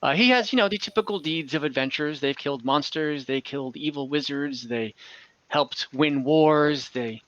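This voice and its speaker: A game master improvising tabletop game lore, speaking haltingly and searching for words. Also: medium pitch, fairly bright tone, dry recording, muffled recording. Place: online group call.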